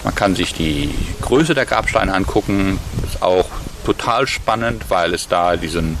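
A man's voice: low voice, lively intonation, a little slow, background noise. A man, a professor, explaining his research in an interview, lecturing steadily and thinking aloud with fillers and restarts, recorded outdoors.

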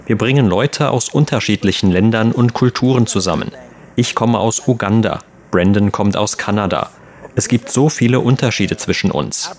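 A man reading a translated interview as a steady, plain voice-over. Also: lowish voice.

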